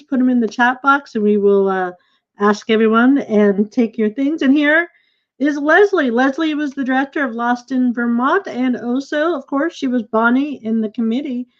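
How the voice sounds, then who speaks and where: A woman hosting an informal online event in an effusive way over a video call. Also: fairly high pitch. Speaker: host and play director. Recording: dull, lo-fi video call audio.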